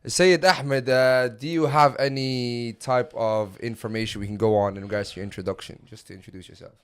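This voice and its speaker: A man's voice: medium pitch, steady tone, a little fast, clean tone. A man hosting a podcast who greets his guest courteously and asks friendly questions. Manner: warm and courteous, uses Islamic greetings. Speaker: podcast host. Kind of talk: scripted intro then casual interviewing.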